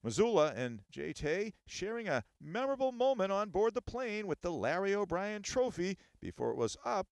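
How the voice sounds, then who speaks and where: A man's voice: high-pitched, very animated, normal speed, creaky, few pauses, no room sound. A man narrating a scripted TV news package as a reporter.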